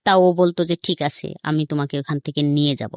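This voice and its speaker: A woman's voice: low-pitched, fairly even intonation, quick pace, clean tone, no room sound, studio quality. A woman with a Bangladeshi accent recounts her life story as an interview guest, speaking colloquially and acting out remembered dialogue. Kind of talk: personal storytelling in a radio interview.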